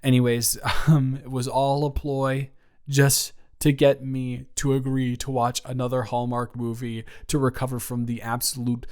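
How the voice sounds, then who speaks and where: A young man playing a shy, nervous, sweetly awkward college student in an intimate audio roleplay, speaking in a halting, stammering, rambling way. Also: medium pitch, little pitch movement, a little mellow, clear, resonant tone, close to an everyday voice. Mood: teasing, affectionate. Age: young adult. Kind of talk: intimate one-sided audio roleplay acting.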